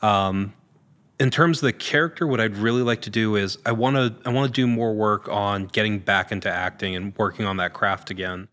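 Low-pitched man answering interview questions. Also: fairly quick, fairly bright tone. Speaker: actor, podcast guest. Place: large indoor studio room. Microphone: podcast microphone on a boom arm.

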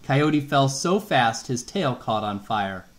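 A middle-aged man reading a storybook to a young child, expressively, stopping to ask questions. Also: mid-range voice. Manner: unhurried, expressive, asks questions as he reads. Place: home kitchen.

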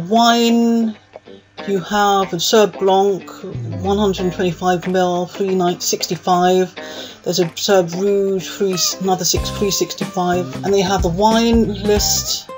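A woman gives a casual vlog voice-over at a slow pace. She thinks aloud in halting phrases, with plucked guitar music playing clearly behind her. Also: mid-range voice. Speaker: food vlogger. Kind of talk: casual voice-over reading through a menu.

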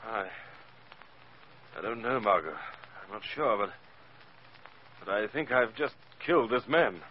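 Hesitant gentleman, animated pitch. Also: a little slow. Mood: dazed. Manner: hesitant, earnest. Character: dazed, well-mannered gentleman accused of murder. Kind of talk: 1940s radio acting. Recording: dull, noisy 1940s radio recording.

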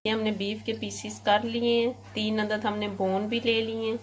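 Woman, cooking host, monotone, measured, home kitchen, sizzling pan noise behind. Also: mid-range voice. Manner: plain, measured listing of ingredients. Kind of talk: step-by-step recipe instruction. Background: frying and stirring sounds.

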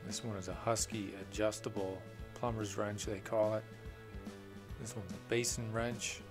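A man with a fairly low voice talks through his plumbing tools off camera, recorded on a handheld camera indoors. He speaks plainly and without hurry, often pausing on "uh". Faint music sits under his voice. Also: flat delivery. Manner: plain, unhurried, with frequent "uh" fillers. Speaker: plumbing contractor. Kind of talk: off-camera tool walkthrough.

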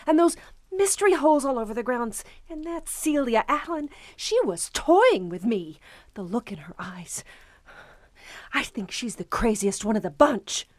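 A woman's voice: on the high side, expressive, unhurried, darkish tone, no echo. A woman playing an anxious, suspicious young honeymooning wife in an audio drama. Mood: frightened, insistent. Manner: hesitant, anxious, insistent.

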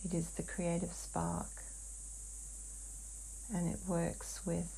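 A woman channeling in a hypnotic session, answering in halting, fragmented phrases with long pauses. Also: lowish voice, completely flat delivery, gravelly, dark tone, slight background noise, rough recording. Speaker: client in a hypnotic healing session. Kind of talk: slow trance channeling, answering questions.

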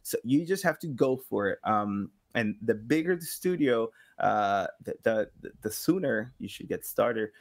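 A man in his thirties chatting casually as a podcast guest, thinking aloud haltingly and voicing imagined quotes. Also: fairly low pitch, lively intonation. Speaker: podcast guest, game sound designer. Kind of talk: casual interview chat. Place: small home room with slanted ceiling, indoors.

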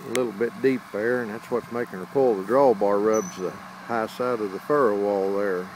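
A man's voice: fairly low pitch, fairly even intonation, background noise. Male farmer, easygoing folksy narrator, outdoor phone recording with tractor engine running. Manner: folksy, unhurried explaining. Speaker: farmer filming his tractor. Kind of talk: casual narration while filming. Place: outdoors on a small hillside farm field. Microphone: handheld phone camera.

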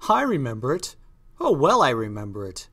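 A man voicing a horrified, pleading gentleman painter in an agitated, rushed, dramatised novel reading. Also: mid-range voice, wildly swooping pitch, exaggerated voice. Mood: horrified disbelief.

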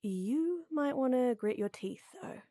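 A woman playing a tall, strong lady knight who flirts and teases softly and coyly in a roleplay audio drama. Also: sits high, lively intonation, a little slow, no room sound, studio quality. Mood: caring, reassuring. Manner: teasing, coy, soft and intimate with chuckles.

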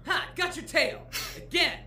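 A man's voice: very high voice, measured pace, bright, forceful tone, faint music behind, heavily acted. A man playing a cheerful, dim-witted, naive martial-arts hero in an anime parody. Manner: naive, goofy. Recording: echoey playback with clear noise.

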